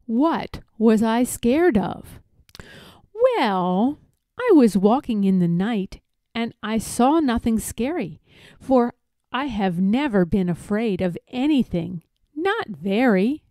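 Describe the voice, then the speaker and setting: A woman reading rhyming children's stories aloud in an expressive, theatrical storytelling manner. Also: fairly high pitch, takes it slowly, no echo, studio quality. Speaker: storybook reader.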